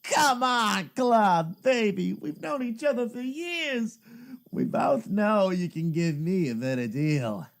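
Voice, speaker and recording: A man's voice: sits high, very animated, takes it slowly, exaggerated voice. An actor playing a glib, cocky inventor and wheeler-dealer in an audio drama, with a salesman's patter. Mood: indignant haggling.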